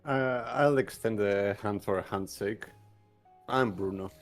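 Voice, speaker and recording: A man with a fairly low, creaky voice joins a tabletop roleplay as a player. He speaks casually, with faint music behind. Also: unhurried.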